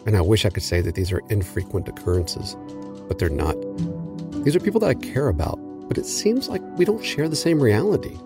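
A man hosting a news podcast, narrating a script in a measured, reflective way and putting questions to a guest. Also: fairly low pitch. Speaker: podcast host. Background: music bed.

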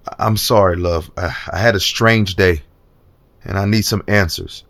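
A man's voice: low voice, normal speed, gravelly, fairly bright tone. A man playing an earnest, proud senator and strongman in an audio drama. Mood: uneasy.

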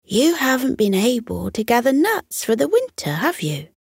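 Woman voicing an eager, earnest young-hearted fox, lilting high. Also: sing-song, moderate pace, gravelly, husky, dry recording, clean studio sound, full character voice. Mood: tentative, helpful. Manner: earnest, tentative, coaxing. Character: eager, kind male fox with a big idea. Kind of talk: children's bedtime story character voice.

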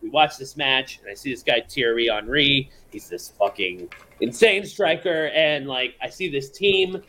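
Bright-voiced man chatting eagerly. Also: medium pitch, animated, measured pace, slight background noise. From American South. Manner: rambling, enthusiastic, swears freely. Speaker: podcast guest, songwriter. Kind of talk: casual chat.

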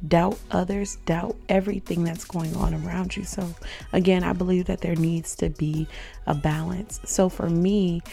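A woman hosting her own faith podcast in a casual solo talk. She is hoarse and sounds upbeat and reflective, with frequent ums. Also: lowish voice, mellow. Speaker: podcast host.

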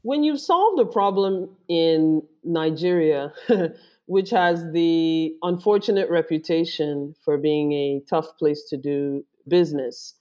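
A woman's voice: deep voice, slow, mellow. A woman who is a former startup executive, answering interview questions thoughtfully and often rephrasing mid-sentence. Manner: thoughtful, self-correcting phrasing. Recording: dull lo-fi audio.

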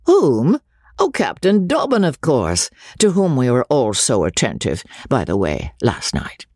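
A man's voice: medium pitch, huge pitch swings, a little slow, a little mellow, husky, no echo, clean studio sound, exaggerated voice. A man voicing a young army officer who is arrogant and mocking, talking in laughing banter.

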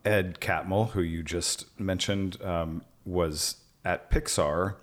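A man's voice: deep voice, fairly even intonation, takes it slowly. A man hosting an interview, asking questions in an enthusiastic, conversational way. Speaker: podcast host.

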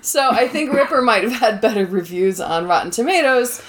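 A woman's voice: on the high side, expressive, moderate pace. A woman in her thirties hosting a podcast in a chatty, casual way, laughing often. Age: 30s. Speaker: podcast host and lecturer. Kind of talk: casual chat.

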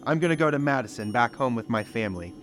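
An actor playing an earnest, wounded husband with emotional delivery in a 1930s-style radio mystery. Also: male voice, medium pitch, lively intonation, fast, fairly bright tone, lightly acted. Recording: music behind the voice.

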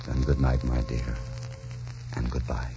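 An actor playing a bold, romantic adventurer captain in a theatrical 1940s radio drama style. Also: male voice, rumbling low, little pitch movement, measured pace, warm tone. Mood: ardent, romantic. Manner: theatrical, ardent, declamatory. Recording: dull 1940s radio recording, music behind.

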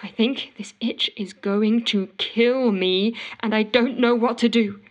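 High-voiced, frantic woman an actress plays her. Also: steady tone, unhurried, muffled recording, lightly acted. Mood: queasy, desperate. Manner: exasperated, dramatic storytelling. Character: frantic woman desperate to wash her itchy hands. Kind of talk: audio fiction monologue, told as a phone call.

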